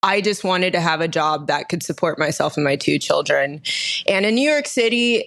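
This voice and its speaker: A woman in her thirties with a slightly low voice. She talks as a guest in a casual interview, telling personal stories in a chatty way. She is from Texas and laughs easily. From Austin, Texas, United States. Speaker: podcast guest, nurse injector.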